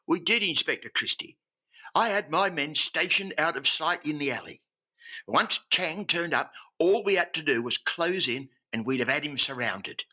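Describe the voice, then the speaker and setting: A man's voice: on the high side, animated, moderate pace, pushed and bright, exaggerated voice. An actor playing a dutiful, earnest police sergeant in an old-time radio drama, deferential to his superior. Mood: earnest, urgent.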